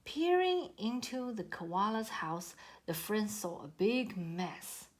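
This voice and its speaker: Slow, animated woman reading a storybook. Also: medium pitch. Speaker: story reader. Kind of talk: scripted children's storybook narration.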